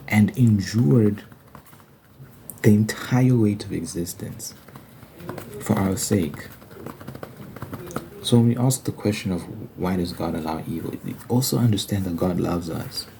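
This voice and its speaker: A man's voice: sits low, dark tone, slight background noise, low-quality recording. A man giving an informal, earnest Bible study monologue in an ASMR style, repeating phrases for emphasis.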